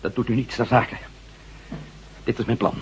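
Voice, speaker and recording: A man's voice: mid-range voice, level tone, normal speed, husky. An actor playing a determined, hot-tempered former astronaut in a science fiction radio drama, speaking emphatically and arguing his case. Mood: determined, urgent. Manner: emphatic, swearing, argumentative. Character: determined, hot-tempered male ex-astronaut adventurer laying out plans. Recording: dull lo-fi radio drama recording.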